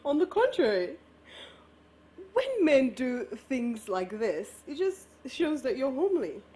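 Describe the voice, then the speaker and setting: A woman's voice: high voice, sing-song, measured pace, mellow. An actress playing a busy, friendly office woman chatting with a male colleague in a stage play. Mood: admiring.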